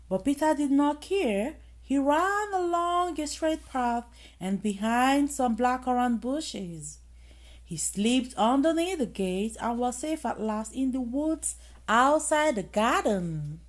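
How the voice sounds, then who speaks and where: A woman reading a children's bedtime story aloud in an expressive manner, with dramatic emphasis. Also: high-pitched. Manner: expressive, dramatic emphasis with acted sound effects.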